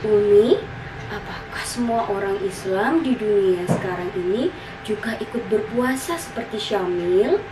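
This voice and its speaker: A woman plays an innocent little boy in a children's storybook tale, polite and eager with childlike questions. The voice is slow and sits back in a roomy, noisy recording. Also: mid-range voice, expressive, far from the mic, natural voice. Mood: curious.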